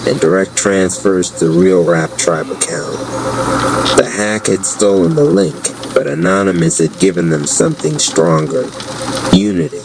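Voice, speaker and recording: Very deep, slow male storyteller. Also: expressive, vocal fry, heavy background noise. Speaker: narrator. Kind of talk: scripted dramatic audiobook narration.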